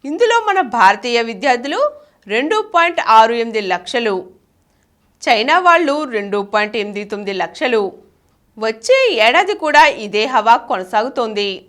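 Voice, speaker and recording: A woman's voice: on the high side, big pitch swings, normal speed, a bit bright. A woman reading a scripted explanatory piece as a narrator. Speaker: narrator reading an article for a channel.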